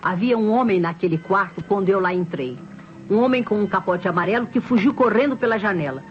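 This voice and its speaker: A Brazilian actress playing a talkative, self-assured neighbour in a radio drama, explaining herself in a proper, insistent way. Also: female voice, mid-range voice, a little fast, lightly acted. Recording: dull old radio recording, music behind.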